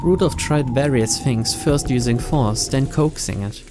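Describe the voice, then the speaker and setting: A man's voice: medium pitch, measured pace. Man narrating a visual novel. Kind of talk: reading game text aloud. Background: game music.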